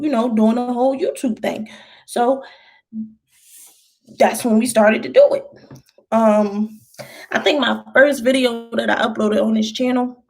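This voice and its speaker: 30 yr old woman vlogging q&a, kinda high voice, gravelly, rambly and encouraging, lots of slang. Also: darkish tone. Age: 30s. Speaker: vlogger answering viewer questions. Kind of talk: casual chat.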